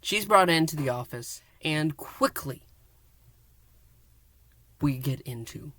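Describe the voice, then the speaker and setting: A man's voice: fairly high pitch, lively intonation, takes it slowly, a bit bright, no room sound. Playful male podcast reviewer. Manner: playful, often dropping into a whisper. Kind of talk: casual review recap.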